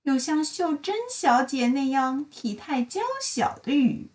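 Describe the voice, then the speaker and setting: A woman reading a children's storybook aloud in an expressive, deliberate storytelling style. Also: high voice, recorded from a distance. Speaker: storybook reader. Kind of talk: bilingual children's read-aloud narration.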